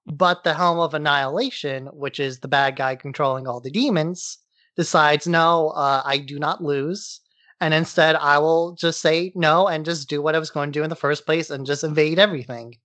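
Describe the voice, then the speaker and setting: A man's voice: a little high, fairly bright tone, no room sound. A man hosting a podcast, giving a casual, informal recap in loose everyday language. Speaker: podcast host. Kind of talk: casual comic-book recap.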